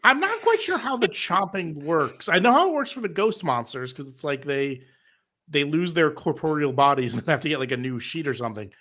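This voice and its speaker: Lively, bright-toned male panelist. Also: on the high side, fairly quick. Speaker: convention panelist. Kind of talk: casual joking panel chat.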